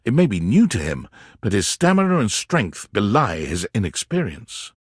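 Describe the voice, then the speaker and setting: A wry male storyteller narrates a dating game in a husky, very animated voice. He is theatrical and warm, leaning on dramatic pauses. The recording is close and dry. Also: fairly low pitch, dry recording, full character voice. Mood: admiring, wry. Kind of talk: scripted second-person narration, expressive storytelling.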